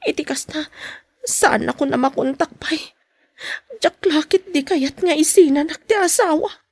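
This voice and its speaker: A woman's voice: high voice, measured pace, darkish tone, no room sound. A woman acting a meek, guilt-ridden young wife and mother in a podcast drama, hesitant and pleading. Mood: ashamed.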